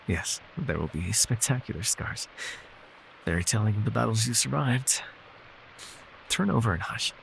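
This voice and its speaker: Man playing a creaky-voiced, gentle immortal elf healer. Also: on the low side, animated, a little hiss or hum, exaggerated voice, rough recording. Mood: wry, chiding. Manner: measured, formal archaic phrasing, soothing. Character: gentle, weary immortal male elf healer, wryly teasing. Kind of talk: intimate fantasy ASMR roleplay.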